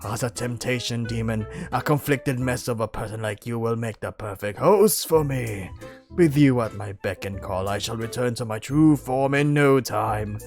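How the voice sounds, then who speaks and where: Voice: mid-range voice, normal speed, creaky, a little mellow, soft background music, close to an everyday voice. A storyteller voicing a tiny, young demon snake who is melodramatic, grandiose and easily offended.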